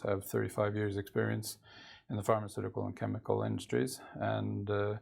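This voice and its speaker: A man's voice: lowish voice, gravelly. A middle-aged man with a Norwegian accent, answering interview questions in a studio, hesitant and full of filler sounds. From Canada. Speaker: company CEO.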